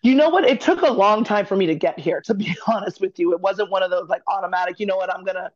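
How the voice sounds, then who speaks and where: A woman guest in a casual interview, talking candidly in a chatty, quick way. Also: medium pitch, highs cut off. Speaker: podcast guest, former college basketball player.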